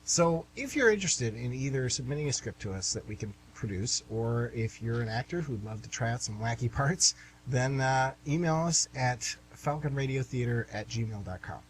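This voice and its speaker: A man's voice: mid-range voice, expressive, moderate pace, poor audio. A man hosting an amateur radio theatre show, chatty and informal as he introduces and narrates. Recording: amateur radio, faint background hum.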